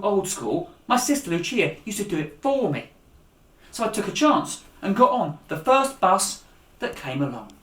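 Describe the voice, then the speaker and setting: Male storyteller for young audiences, animated and direct, recorded with noticeable room echo. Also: high-pitched. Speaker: author and storyteller. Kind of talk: story reading aloud for young listeners. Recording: echoey room.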